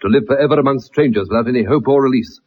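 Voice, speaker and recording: A man's voice: medium pitch, very fast, slight background noise, lightly acted. An actor playing a stern, proud older colonel and protective father, grave and emphatic, in a 1960s radio play. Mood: pleading, defensive. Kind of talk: 1960s radio drama acting. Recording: muffled, dull old radio recording.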